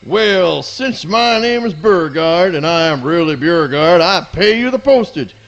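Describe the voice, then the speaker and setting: A man's voice: on the high side, expressive, normal speed, fairly bright tone, highs cut off. A man playing a pompous, melodramatic, devoted hound dog in a comic radio play, declaiming in florid theatrical style. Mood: pompous, puzzled.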